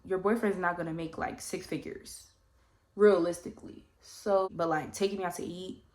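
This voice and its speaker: A young woman of about twenty with a slightly low, faintly stuffy voice, talking to her camera while she does her makeup. She rambles in a relaxed way and leans on "like" and "you know what I mean.". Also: normal speed. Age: around 20. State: slightly congested, coming down with a cold. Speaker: vlogger. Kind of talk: casual get-ready-with-me Q&A chat.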